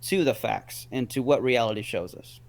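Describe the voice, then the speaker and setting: A man's voice: mid-range voice, normal speed, creaky. A man as a guest in a casual debate, rambling and repeating words as he reasons step by step.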